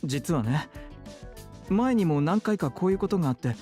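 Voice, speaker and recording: An actor playing a worried, gossipy clinic colleague with soft feminine phrasing in a Japanese visual novel. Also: male voice, a little high, animated, quick pace, soft background music, close to an everyday voice. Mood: worried, uneasy.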